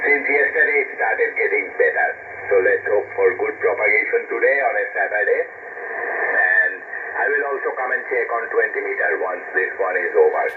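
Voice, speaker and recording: A man's voice: fairly low pitch, extremely slow. A man chatting casually as a ham radio net controller, mixing Bengali with English phrases. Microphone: amateur radio transmission. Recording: very noisy, narrow-band shortwave radio link.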